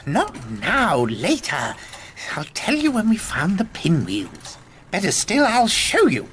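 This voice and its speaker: An actor voicing a fretful, well-mannered rabbit guide in a video game, with a proper, hesitant delivery. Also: male voice, high-pitched, huge pitch swings, slow pace, fairly bright tone, slight background noise, heavily acted. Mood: evasive, fussy. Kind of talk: video game cutscene acting.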